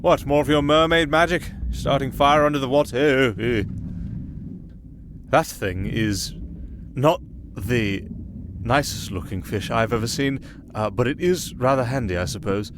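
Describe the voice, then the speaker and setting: A man's voice: mid-range voice, a little slow, noisy background, natural voice. A man playing a wry, nervy castaway entertainer in an ASMR roleplay, sarcastic and exasperated as he talks to an unheard partner. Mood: uneasy, dry. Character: young male wandering entertainer, sardonic, reluctant, nervy castaway. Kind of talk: ASMR roleplay acting, one-sided dialogue.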